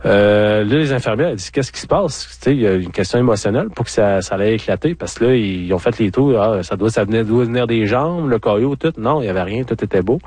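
A man with a Quebec French accent speaks in a low voice, recorded dry. He is an interview guest recounting his own experience in a candid, colloquial, conversational way. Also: animated, fast, few pauses, darkish tone, no echo. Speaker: interview guest, former farmer. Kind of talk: conversational personal storytelling.

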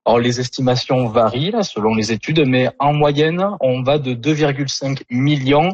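A man's voice: mid-range voice, normal speed, a little mellow, slightly rough, dry recording. A young man with a southern French accent, explaining as a researcher interviewed over a dull remote connection. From Toulouse, southern France. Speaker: interview guest, mycology researcher.

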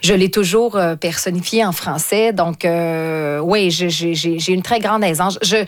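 Québécois woman chatting with many restarts. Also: lowish voice. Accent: Québécois French. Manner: lively, halting with many restarts and fillers. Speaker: performer being interviewed. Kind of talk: radio interview.

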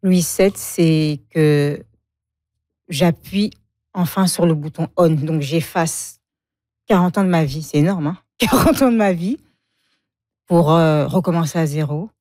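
A woman's voice: deep voice, a little slow, a little mellow, no room sound, clean studio sound. A woman in her forties from Congo, a guest speaking frankly about her life and often laughing. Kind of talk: emotional personal interview.

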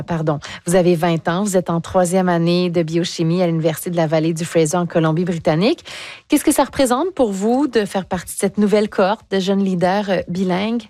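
A woman's voice: on the low side, darkish tone, no room sound. Woman radio host, dry. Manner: friendly, encouraging, light laughs. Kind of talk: broadcast interview.

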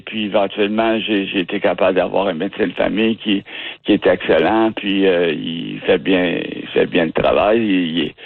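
Halting Canadian French man on phone. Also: lowish voice, gravelly, no room sound. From Ottawa region, Canada. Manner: halting, often restarts words while searching for them. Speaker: interview guest. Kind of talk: casual phone interview. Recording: tinny dry phone line.

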